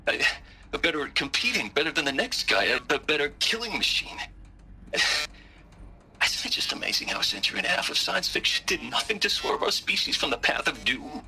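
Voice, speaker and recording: An actor playing a remorseful male scientist in a video game, stammering and halting as he speaks. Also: fairly high pitch, big pitch swings, bright, forceful tone, heavily acted, low-quality recording. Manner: stammering, halting, full of restarts. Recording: game audio with faint music.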